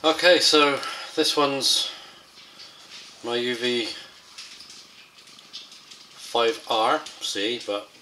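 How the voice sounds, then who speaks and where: Man, bright voice, faint background noise. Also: medium pitch, extremely slow, pauses often. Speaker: presenter testing gadgets. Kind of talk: casual field-test vlog.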